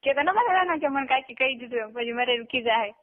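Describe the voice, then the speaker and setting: A woman's voice: high-pitched, animated, fast. A woman with a Gujarati accent in a casual, private phone conversation, talking colloquially. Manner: colloquial, brief backchannels. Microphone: telephone line. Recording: tinny narrowband phone call recording.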